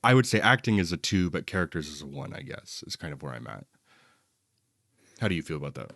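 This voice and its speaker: A man's voice: very deep voice, very animated, quick pace, creaky, a bit bright, dry recording, clean studio sound. A man co-hosting a film podcast, talking casually and explaining his opinions in a conversational way.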